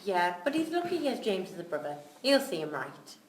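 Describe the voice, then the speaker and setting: A woman's voice: lowish voice, big pitch swings, fairly quick, far from the mic, slight background noise. A woman playing a no-nonsense, exasperated young barmaid with a dry, firm manner in a script reading. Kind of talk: audio drama script reading. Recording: echoey room, off mic.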